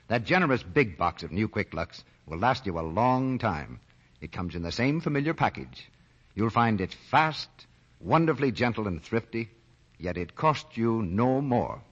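Animated low-voiced 1940s radio announcer, male. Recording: muffled, rough 1940 radio recording.

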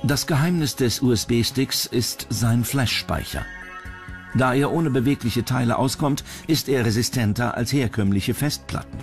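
A male narrator delivers scripted documentary voice-over at a middle pitch. Music plays clearly behind his voice throughout. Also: unhurried.

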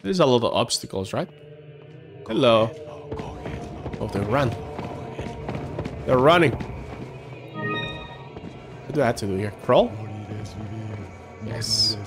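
Animated male streamer over game music. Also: mid-range voice, slow, pauses often. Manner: joking, laughs at himself, talks to chat. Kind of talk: live gameplay commentary. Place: home room, indoors. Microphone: headset.

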